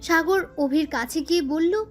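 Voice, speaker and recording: A woman's voice: extremely high pitch, unhurried. A woman reading scripted narration for an audio story. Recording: music bed under the voice. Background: background music.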